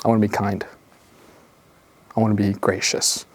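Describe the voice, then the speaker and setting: A man leading a drawing tutorial in a jokey, rambling way, full of gentle encouragement and little asides. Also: lowish voice, little pitch movement, normal speed, a little hiss or hum. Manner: drops to whispers while concentrating on drawing. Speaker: drawing instructor.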